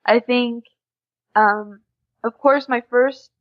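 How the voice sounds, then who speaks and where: A woman's voice: a little high, fairly even intonation, slow, highs cut off. A young American woman chatting casually and openly as a guest in an interview. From USA. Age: young adult. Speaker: podcast guest, exchange student.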